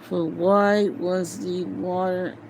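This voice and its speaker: A woman reading a novel aloud informally, halting and stumbling over words, with muttered casual asides to herself. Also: lowish voice, flat delivery, darkish tone, a little hiss or hum, poor audio. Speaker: amateur reader of a novel aloud.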